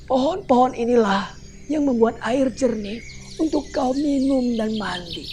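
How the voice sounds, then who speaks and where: A woman voicing a calm, wise old grandfather who gives slow, solemn warnings. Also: a little high, a little hiss or hum, pitched above the performer's own voice.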